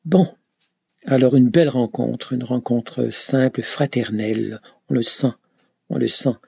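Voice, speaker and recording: Male pilgrim, slow, husky and gravelly, stop-start telling, dry close sound. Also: medium pitch, steady tone, dry recording. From Canada. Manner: hesitant, many filler sounds and restarts. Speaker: pilgrim recounting a journey. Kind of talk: reflective travel account.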